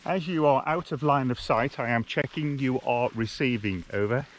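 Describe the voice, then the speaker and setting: A man's voice: mid-range voice, moderate pace, a little hiss or hum. A man giving a casual, practical outdoor field-test commentary, speaking plainly as a hiking vlogger. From United Kingdom. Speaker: hiking vlogger and gear tester. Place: outdoors on open hills.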